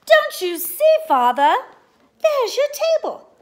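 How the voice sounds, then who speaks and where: A woman voicing an eager young boy, a knight's excitable son in a storybook, exclaiming eagerly. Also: extremely high pitch, slow pace, pitched above the performer's own voice, full character voice. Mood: excited.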